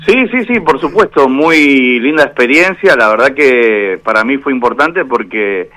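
A man with an Argentine Spanish accent, a boxing referee speaking as a guest over the phone on a radio show, measured and hesitant with many fillers. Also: mid-range voice, lively intonation, sharp tone, soft background music. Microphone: phone line.

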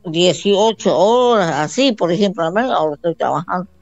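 A woman from Bolivia taking part in a call-in conversation, talking in halting, repetitive phrases with affectionate warmth. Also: fairly low pitch, lively intonation, creaky, no echo, lo-fi sound. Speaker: caller. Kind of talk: phone-in conversation.

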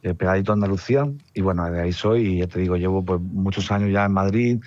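A Spanish man from Murcia with a southern accent, a musician talking about his tour in a relaxed, reflective way over a phone line. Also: sits low, quick pace, dry recording. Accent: southern Spain, Murcian. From Murcia, Spain. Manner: relaxed, reflective, with fillers like "pues" and "nada". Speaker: musician guest. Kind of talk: phone interview. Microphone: telephone line.

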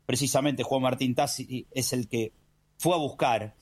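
Conductor deportivo argentino, enfático. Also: male voice, medium pitch, unhurried, slightly rough. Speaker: sports radio host. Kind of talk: football commentary and analysis.

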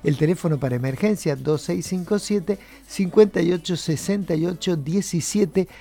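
An Argentine man co-hosts a casual radio show and delivers live sponsor mentions. His voice sits a little high for a man, with a mellow, soft tone. Light music plays behind him. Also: moderate pace, vocal fry. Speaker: radio co-host.

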